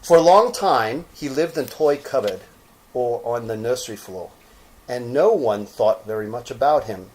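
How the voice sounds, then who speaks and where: A man narrating a children's storybook expressively, with deliberate, dramatic phrasing. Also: medium pitch, lively intonation, darkish tone, poor audio.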